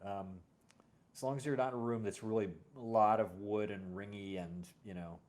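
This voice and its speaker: Low-pitched man in casual chat. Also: fairly even intonation, moderate pace, a little mellow. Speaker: podcast host and audio drama series creator.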